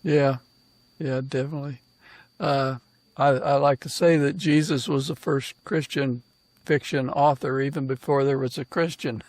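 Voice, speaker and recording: A man hosting a casual interview podcast, speaking in an informal, halting way. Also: medium pitch, fairly even intonation, a little mellow, no echo, low-quality recording. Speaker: podcast host and author.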